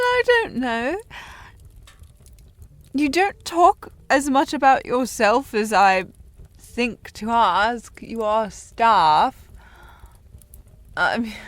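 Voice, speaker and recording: A woman playing a tipsy, haughty young noblewoman in a historical audio drama, teasing and rambling in a halting way. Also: fairly high pitch, no room sound. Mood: haughty, needling. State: playing slightly drunk. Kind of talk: scripted audio roleplay, period drama.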